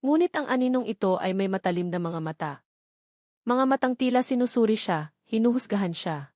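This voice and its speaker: A woman's voice: medium pitch, animated, fairly quick, darkish tone, dry recording. Female storyteller, mid-range voice, scripted dramatic romance narration, dry sound.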